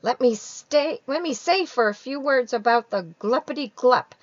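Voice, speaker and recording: Storybook creature, male, old and indignant, played by a woman: raised croaky voice, querulous, scolding, emphatic delivery. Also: high voice, no echo, muffled recording, pitched above the performer's own voice. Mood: angry, scolding. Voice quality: croaky, coughing. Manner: scolding, emphatic, drawn-out complaints.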